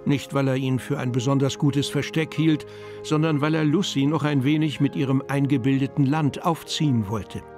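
A man's voice: medium pitch, fairly even intonation, normal speed, lightly acted. A man narrating a German children's radio play in a scripted storybook style. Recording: music behind the voice.

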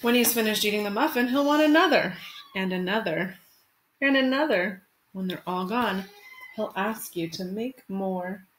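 Woman in her thirties, schoolteacher, medium pitch, expressive delivery for children, small room at home, faint noise. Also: normal speed, rough recording. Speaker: elementary school teacher. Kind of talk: reading a picture book aloud to children.

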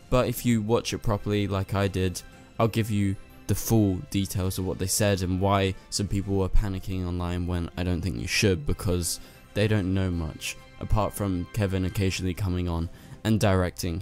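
A young man with a hoarse, worn-out voice, hosting a chatty commentary video and talking straight to his viewers. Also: low voice. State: lost his voice. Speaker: channel host. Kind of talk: casual commentary to camera. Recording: soft music underneath.

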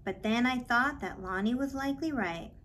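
A woman in her thirties reading a children's book aloud in a measured, expressive way, with pauses, in a small office. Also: a little high, a little hiss or hum. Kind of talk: read-aloud storytelling.

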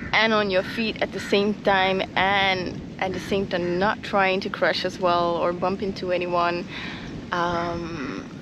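A woman from Uganda giving a casual vlog recap to camera outdoors by the sea. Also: lowish voice. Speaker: vlogger. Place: outdoors at a windy seaside. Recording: very noisy outdoor wind and water.

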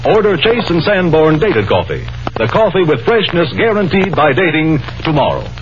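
A man's voice: fairly high pitch, very animated, moderate pace. An American man working as a radio announcer, reading a 1930s commercial in an emphatic, persuasive salesman delivery. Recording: muffled old 1930s radio broadcast.

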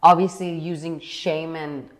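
Young woman interview host from Iran, low-pitched, animated and searching in her delivery, lapel mic in a living room. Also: unhurried. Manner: animated, searching for words, restarts often. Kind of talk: conversational interview. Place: bright living room indoors.

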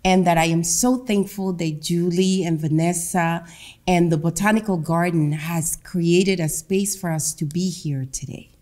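A middle-aged woman from Honduras, a Garifuna speaker, giving a public talk from a lectern in a meeting room, with a slow, emphatic delivery. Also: lowish voice, darkish tone. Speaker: guest speaker. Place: meeting room with a seated audience. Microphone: lectern microphone.

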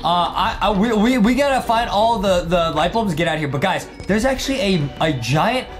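A man, hosting a gaming video with excitable, emphatic commentary aimed at viewers. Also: sits high, normal speed, faint music behind. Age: 20s. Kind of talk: hyped gameplay commentary addressed to viewers.

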